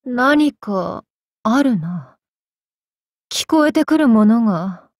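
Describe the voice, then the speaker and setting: Casting: possessed female samurai for an anime game, vengeful and torn, archaic diction, very slow delivery, slightly breathy, dry sound. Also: a little high, animated, a little mellow, no echo. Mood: haughty, guarded. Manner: archaic, solemn speech with halting pauses, sometimes sinking to whispers. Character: vengeful possessed samurai woman, tormented, torn between two selves. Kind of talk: anime game character voice lines.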